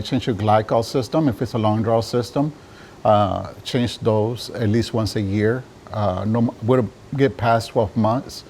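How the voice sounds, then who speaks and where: A man from Mexico giving casual interview answers about his trade, often halting as he searches for words. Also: mid-range voice, a little mellow, slight background noise. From Juarez, Mexico. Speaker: interviewed draft beer system installer and business owner.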